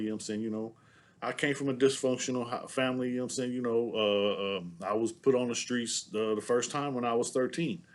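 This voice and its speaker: A middle-aged man from San Diego with a Southern-sounding accent, talking to camera in a loose, rambling monologue full of filler tags. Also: medium pitch. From San Diego, California. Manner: rambling, loaded with "you know what I'm saying" tags. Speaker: vlogger.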